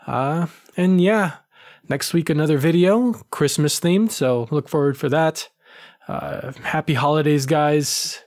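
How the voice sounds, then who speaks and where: A man narrating a first-person horror story with measured, slow phrasing, who also hosts the channel. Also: on the high side, darkish tone. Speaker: audio drama creator and narrator.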